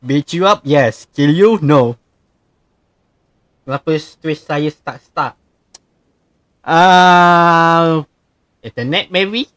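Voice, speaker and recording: Halting Malaysian man streaming a game. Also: on the high side, a bit bright, dry recording, studio quality. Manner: halting, mixes two languages. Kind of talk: casual livestream commentary.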